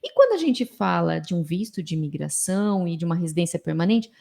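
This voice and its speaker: Mellow Brazilian woman host. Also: on the low side, sing-song, fast, gravelly. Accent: Brazilian Portuguese. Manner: chatty, many fillers and affirming murmurs. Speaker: podcast host. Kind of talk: conversational podcast interviewing.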